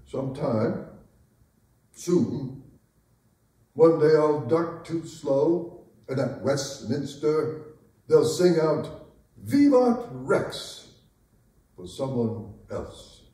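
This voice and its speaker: A man's voice: fairly high pitch, big pitch swings, extremely slow, pauses often, dark tone, heavily acted. A man playing a proud, wry, aging king in a theatrical staged reading, declamatory in manner. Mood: weary pleading. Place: arts center stage, recorded from afar. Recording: very echoey hall, distant mic.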